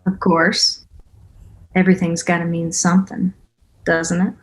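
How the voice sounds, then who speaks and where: A woman's voice: fairly low pitch, flat delivery, a little slow, close to an everyday voice. A woman playing a tired, guarded mother in a screenplay table read, giving brief, casual, wry replies. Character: tired, guarded young mother Corey, worn down. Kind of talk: table read of a film script.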